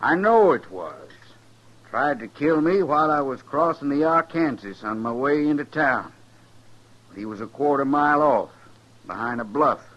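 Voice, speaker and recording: An actor playing a proud, vengeful older rancher with a rural Western accent, gruff and defiant, in 1950s radio western style. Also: male voice, medium pitch, lively intonation, normal speed, close to an everyday voice. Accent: rural American Western. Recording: dull vintage 1950s radio recording.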